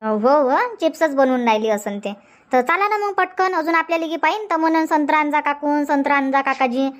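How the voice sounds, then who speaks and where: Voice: high voice, fairly quick, close to an everyday voice. An actor voicing a chatty, stubborn village housewife in a comedy cartoon, nagging insistently in a rural Vidarbha Marathi accent. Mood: gossipy.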